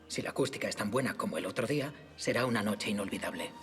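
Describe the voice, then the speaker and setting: A man acting in an audio drama as a gallant, charming court musician, courteous and flattering. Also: on the low side, quick pace, soft background music, lightly acted. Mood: pleased.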